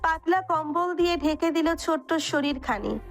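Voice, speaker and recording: A woman's voice: sits high, animated, normal speed. A woman reading scripted narration for a romantic story. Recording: music under the voice. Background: background music.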